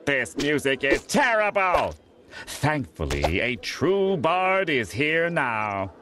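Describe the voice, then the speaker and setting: A man's voice: on the high side, sing-song, takes it slowly, a crowd in the background. A man voicing a pompous, disdainful squid bard in a cartoon game, drawing out mock-archaic words. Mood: disdainful, grandiose. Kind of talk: cartoon video game acting.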